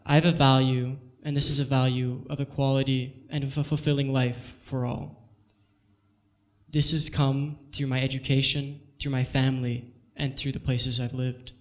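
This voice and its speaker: Earnest teenage boy, flat even delivery. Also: mid-range voice, moderate pace. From Singapore. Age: teens. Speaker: student speaker. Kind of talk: prepared public talk.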